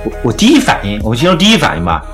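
A man talks quickly with a lively, swinging pitch, explaining his views as host of a casual music discussion. He is opinionated and conversational, with music playing behind him. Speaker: podcast host.

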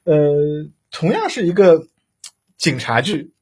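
A man's voice: fairly high pitch, very animated, takes it slowly, darkish tone, breathy edge. A man hosting a casual live discussion, putting questions to guests in a hesitant, rambling way. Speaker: host. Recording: live audio broadcast.

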